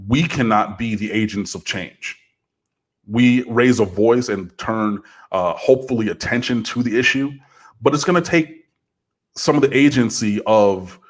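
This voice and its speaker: Man, podcast guest, big low-pitched voice, earnest and forceful, casual talk. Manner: earnest, rising to impassioned.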